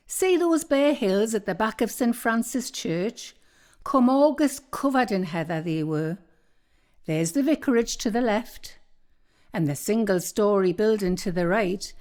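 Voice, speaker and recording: A woman's voice: mid-range voice, a little mellow. A woman with a Northumbrian accent playing a homely, chatty local woman in a radio play. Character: chatty local woman looking through old records.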